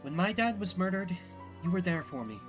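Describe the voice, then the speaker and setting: A man voicing a gentle, caring young woman in a visual novel, soft and earnest and easily flustered. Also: high-pitched, animated, brisk, over music, voice pushed up. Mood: tender, comforting. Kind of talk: visual novel character dialogue.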